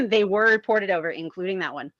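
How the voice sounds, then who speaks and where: A woman's voice: mid-range voice, lively intonation, moderate pace, a bit bright, muffled recording. A woman in a casual group discussion, talking at length as a chatty, emphatic explainer. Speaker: panelist discussing a film and its source book.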